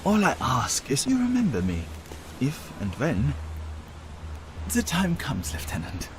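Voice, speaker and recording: Sardonic German officer, wildly animated intonation. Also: male voice, medium pitch, measured pace, background noise. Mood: cagey, scheming. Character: wry, sardonic, self-serving male German army officer turncoat. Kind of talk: video game cutscene acting.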